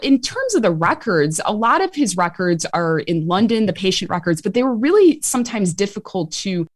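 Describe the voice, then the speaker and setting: Woman w/ chicago accent, talks history, lively and laughy, dry close mic. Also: medium pitch, normal speed, no room sound. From Chicago, USA. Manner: animated, laughs easily. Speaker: author and medical historian. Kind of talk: interview answers.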